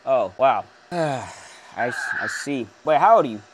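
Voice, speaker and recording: A man's voice: medium pitch, expressive, measured pace, background noise. A young man trolling strangers on a random video chat, mock-tough and boastful. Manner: mock-tough, teasing, gym-bro boasting. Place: small pink-walled bedroom, indoors.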